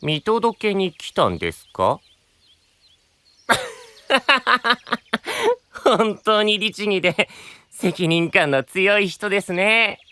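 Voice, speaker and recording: A man's voice: sits high, wildly swooping pitch, drawn-out words, pauses often, pushed and bright, dry recording, full character voice. A man playing a polite, teasing young knight in an anime-style voice drama, with courteous, amused phrasing.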